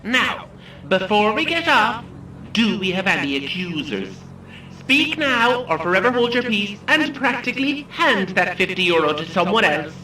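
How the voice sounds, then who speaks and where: Ghoulish Irish coach guide, animated, distant, theatrical, jokey, audio drama. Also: female voice, medium pitch, moderate pace, reverberant, slight background noise. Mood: brisk, teasing. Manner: theatrical, jokey patter to a group. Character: chirpy, ghoulish female tour guide hosting a coach game. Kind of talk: audio drama acting, announcing.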